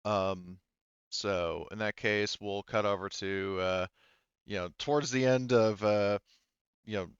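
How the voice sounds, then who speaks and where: A man runs a tabletop roleplaying game in a low, smooth voice. He is conversational and halting as he narrates. The sound is dry and a little dull. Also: steady tone, dry recording. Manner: halting, conversational, checks in with players. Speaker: game master.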